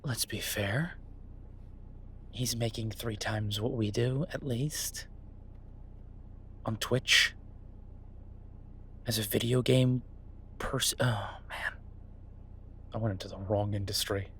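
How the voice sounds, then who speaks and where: A man's voice: fairly low pitch, slow, long pauses, a bit bright, a little hiss or hum. A man acting as an easygoing chef talking to a partner in a naturalistic audio roleplay, conversational, hesitant and wry. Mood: surprised, curious. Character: Beau, easygoing male chef, reassuring his werewolf partner. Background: ambient sound effects.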